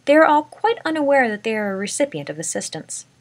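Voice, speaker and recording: A woman's voice: fairly high pitch, very animated, fast talker. Woman reading a story aloud. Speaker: amateur story reader. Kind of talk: scripted narration, reading a short story aloud.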